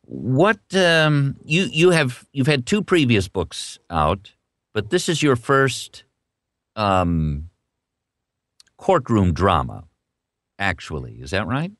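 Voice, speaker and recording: A man's voice: medium pitch, very animated, slow pace, pauses often, a bit bright, no echo, studio quality. A man who narrates audiobooks, hosting an author interview as the interviewer.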